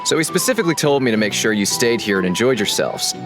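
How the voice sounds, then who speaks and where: A man's voice: mid-range voice, sing-song, quick pace, natural voice. A man voicing a friendly male tavern owner in a video game, talking in an easygoing, wry, amused way. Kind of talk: game voice acting. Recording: game dialogue over background music.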